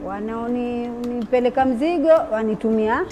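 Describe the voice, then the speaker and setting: A small business owner speaks plainly and candidly in a documentary interview. Her voice is fairly high for a woman, and clear instrumental music runs underneath. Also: moderate pace.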